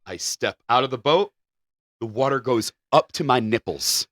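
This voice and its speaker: A man's voice: mid-range voice, lively intonation, normal speed, a bit bright, no room sound, studio quality. A man co-hosting a podcast, telling a story casually with restarts and casual swearing. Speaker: podcast co-host.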